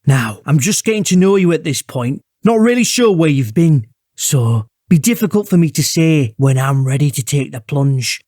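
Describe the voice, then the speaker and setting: An actor playing a smug, menacing abuser who talks in a casual, taunting way in an audio drama. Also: male voice, fairly high pitch, animated, normal speed, a little mellow, dry recording, clean studio sound. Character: smug, menacing male abuser, coolly taunting his victim.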